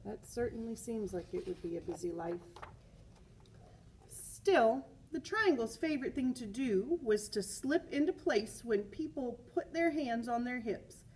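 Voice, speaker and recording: Slow-paced woman reading a picture book aloud. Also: on the high side, slightly rough. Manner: expressive, with emphatic repeated words. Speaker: teacher reading aloud to students. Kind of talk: picture book read-aloud with chatty asides.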